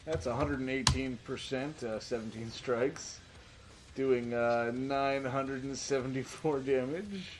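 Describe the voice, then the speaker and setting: A man's voice: medium pitch, a little slow, noisy background. A man acting as game master in a casual tabletop session, narrating and bantering with a joking, foul-mouthed manner.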